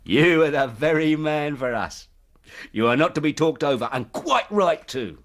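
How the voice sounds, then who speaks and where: An actor in a radio drama playing a genial, persuasive company recruiter, effusive and patronising. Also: male voice, fairly high pitch, lively intonation, normal speed, lightly acted.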